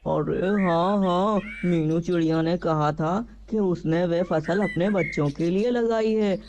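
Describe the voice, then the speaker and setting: Man voicing a greedy lazy cartoon crow, high-pitched, sly, exclamatory, repetitive self-talk, muffled noisy recording. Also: a little mellow. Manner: exclamatory, self-talking, repeats words. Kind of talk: children's cartoon story dialogue.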